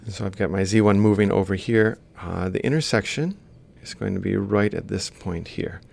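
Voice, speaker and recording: A man's voice: normal speed, creaky, a little mellow. A man giving an informal lecture as he works through an example, thinking aloud step by step and correcting himself. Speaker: robotics lecturer. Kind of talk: informal worked-example lecture, explaining while drawing. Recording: faint background noise with low rumble.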